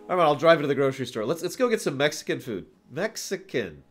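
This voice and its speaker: A man hosts a casual livestream, thinking out loud as he answers his viewers. His pitch moves around a lot, lively and expressive, and he readily acts out his examples. Music plays behind his voice. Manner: animated, chuckles through his points, acts out examples. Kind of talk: casual off-the-cuff livestream commentary.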